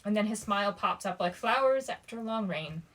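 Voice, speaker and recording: A woman reading a young adult story aloud as expressive bedtime narration, at a medium pitch. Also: brisk, poor audio.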